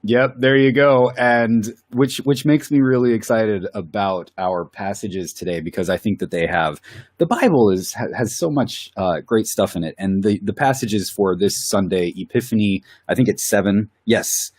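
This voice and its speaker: A man's voice: lowish voice, moderate pace, a little mellow, dry recording, studio quality. A man with a cold, co-hosting a Bible study in casual, enthusiastic chat. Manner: enthusiastic, with filler words and laughs. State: has a cold.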